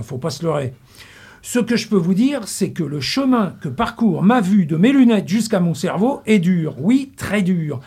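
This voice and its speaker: A man's voice: high-pitched, a little mellow, breathy edge. A middle-aged man reading a humorous radio column in a studio, full of wordplay and mock-grand asides. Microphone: studio mic.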